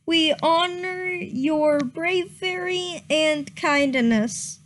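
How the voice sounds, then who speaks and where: A woman's voice: very high voice, drawn-out words. A young woman streaming a comedic dramatic reading of fan fiction, giggling and stumbling over misread words.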